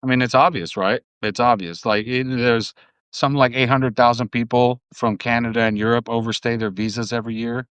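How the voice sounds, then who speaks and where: A man from New Jersey talking politics in a casual chat, steady and full of "you know". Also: medium pitch, fairly quick, clear, resonant tone, dry recording. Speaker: guest.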